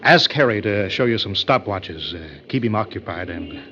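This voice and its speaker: An actor playing an arrogant, insistent mad scientist in an old radio thriller, on a muffled vintage recording. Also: male voice, on the low side, animated, normal speed, bright, forceful tone. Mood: commanding. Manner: insistent, gloating, domineering. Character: gleeful, arrogant mad scientist turning himself invisible.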